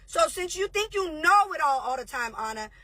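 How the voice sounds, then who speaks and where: A woman in her thirties, a reality show host, ranting heatedly and profanely on a livestream, confrontational and rapid-fire. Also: high voice, expressive, bright, forceful tone, no echo.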